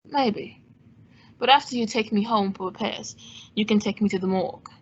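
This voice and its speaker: A woman playing a cool, wry gentleman sleuth in old-time radio style, with an understated, dry wit. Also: medium pitch, normal speed, breathy edge, natural voice. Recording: dull lo-fi audio.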